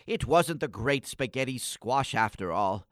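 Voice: medium pitch, expressive, measured pace, heavy background noise, natural voice. An actor playing a timid, morose young boy with theatrical, old-fashioned wording in a comic radio play. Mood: exasperated, scolding. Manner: theatrical, florid antique wording.

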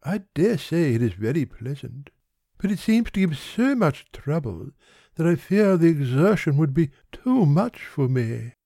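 A languid young fop from a classic storybook, played by a male reader, with a soft mellow tone and swooping intonation. He is courteous, affected and too indolent to exert himself. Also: on the high side, sing-song, unhurried, vocal fry, no room sound, clean studio sound, full character voice. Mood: languid, gallant. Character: languid, foppish, indolent young gentleman, politely bored. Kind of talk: dramatised classic novel reading.

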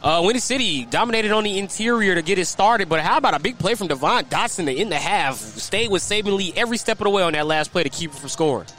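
Male, American, quick pace, slightly high voice, color commentator, explanatory basketball analysis. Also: lively intonation, bright, forceful tone, faint music behind. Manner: explanatory, recapping plays in detail. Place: live inside a sports arena.

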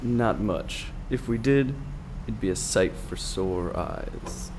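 Casting: male actor as talkative, folksy filling-station attendant, lowish voice, radio play, clear vehicle hum behind. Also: wildly swooping pitch, slow pace, a little mellow, full character voice. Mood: amused, doubtful. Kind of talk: radio drama acting.

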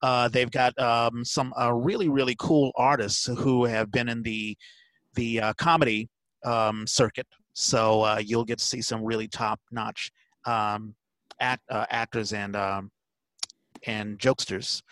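A man hosting a casual podcast chat, announcing events haltingly and repeating words for emphasis. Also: mid-range voice, level tone, a bit bright, no echo, low-quality recording. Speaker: podcast host.

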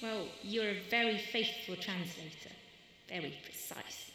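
Echoey, bright-voiced woman in literary conversation. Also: mid-range voice, unhurried. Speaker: poet in conversation with a translator. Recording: echoey, reverberant hall.

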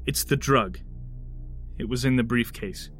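A man's voice: medium pitch, unhurried, fairly bright tone, faint music behind. An actor playing a bitter, sarcastic, foul-mouthed henchman of a ruthless boss in an audio drama. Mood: grim, explaining. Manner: sardonic, profane.

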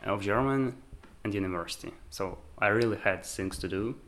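A man speaks quietly as he answers an interview, sometimes repeating words. His voice is fairly low. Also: normal speed.

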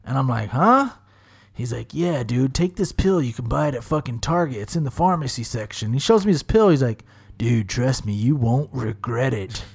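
Thirties dude cohosting, crude jokes, pitch jumping all over when he gets going. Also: moderate pace, creaky, breathy edge. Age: 30s. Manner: loud, animated, crude storytelling with voiced impressions. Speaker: podcast co-host. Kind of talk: crude casual banter.

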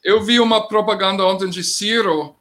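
A middle-aged man speaking Portuguese with a non-native accent, commenting as a guest in a political discussion, plain-spoken with halting grammar. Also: high-pitched, fairly even intonation, fairly bright tone. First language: not Portuguese.

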